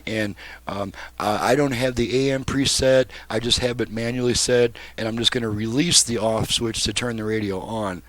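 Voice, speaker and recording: A man's voice: medium pitch, steady tone, moderate pace, a bit bright, no echo. A man giving a casual hands-on demonstration as a repairman, explaining step by step in a plain-spoken way. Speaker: repairman demonstrating a restored car radio.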